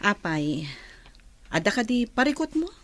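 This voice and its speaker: A woman's voice: a little high, very animated, measured pace, a bit bright. Woman acting a weary, concerned older sister figure. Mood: probing, concerned. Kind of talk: Ilocano audio drama acting.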